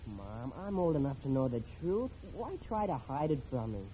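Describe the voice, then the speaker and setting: An actor playing an earnest, stubborn adolescent son in a 1940s radio comedy. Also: male voice, medium pitch, big pitch swings, normal speed, mellow, slight background noise, close to an everyday voice. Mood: stubborn, insistent. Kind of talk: 1940s radio sitcom acting. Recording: muffled vintage radio recording, crowd sound.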